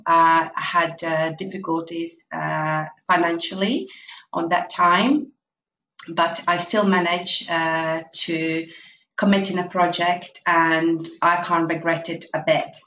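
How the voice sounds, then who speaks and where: A woman from Latvia presenting an investment opportunity, speaking halting full of fillers. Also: on the low side, steady tone, bright, forceful tone. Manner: halting, many fillers, non-native grammar. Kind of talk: promotional presentation. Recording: echoey, distant, dull lo-fi with faint noise.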